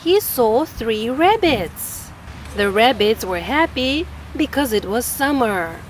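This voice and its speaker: Lady reading a bedtime-style story, slow and singsongy, highish voice. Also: background noise. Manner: slow, lively, expressive. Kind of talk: children's story read-aloud.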